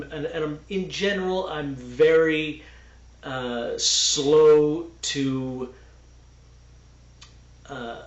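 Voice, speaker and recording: A man raised in Texas, speaking unscripted to the camera, halting with many fillers and restarts as he explains. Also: mid-range voice, reverberant, dull audio. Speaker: religious commentator. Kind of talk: unscripted video monologue.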